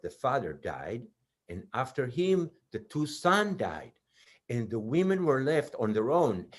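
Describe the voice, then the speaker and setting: A man narrating a children's puppet show as a storyteller, in simple, deliberate phrases. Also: mid-range voice, breathy edge.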